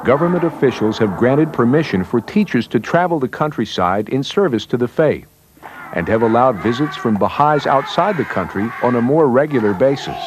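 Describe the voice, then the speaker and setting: A man's voice: medium pitch, lively intonation, moderate pace, background noise. A man reading scripted documentary narration as a newsreel narrator. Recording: music bed under narration. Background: background music.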